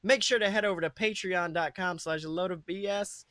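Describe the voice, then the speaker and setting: High-voiced man bantering on a podcast. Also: fairly quick, no echo, studio quality. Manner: animated, crude joking, swears freely. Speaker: podcast co-host. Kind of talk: casual comedic podcast banter.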